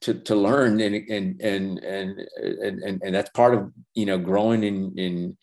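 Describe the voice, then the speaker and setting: An older man whose first language is Spanish, talking earnestly in a casual interview, halting with many restarts and fillers. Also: low voice, fairly even intonation, a little mellow. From Los Angeles. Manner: earnest, reflective, faith-centered. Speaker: animation professor and former feature animator, guest.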